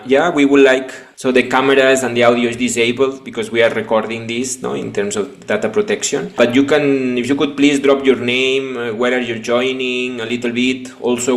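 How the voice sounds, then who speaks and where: A man with as his hosts a webinar as a business coach, talking haltingly with many restarts and tag questions. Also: mid-range voice. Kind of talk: live webinar conversation. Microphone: video call. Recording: echoey room.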